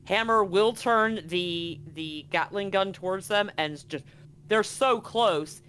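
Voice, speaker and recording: A game master improvising narration for a tabletop game, with dramatic pauses and drawn-out emphasis. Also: medium pitch, a bit bright.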